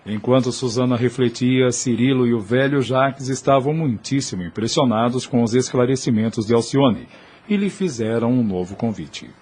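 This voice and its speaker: Male radio-play narrator: Brazilian, medium pitch, slightly husky, scripted storytelling. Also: normal speed, a little mellow. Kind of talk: scripted audio drama narration.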